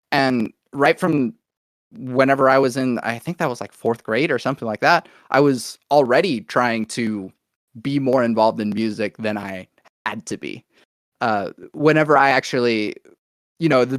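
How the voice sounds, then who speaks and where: Man, American accent, medium pitch, conversational, thinking out loud, musician guest on a podcast, dry recording. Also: dry recording. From Oklahoma, United States, born in Venezuela. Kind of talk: casual conversational interview, telling own story.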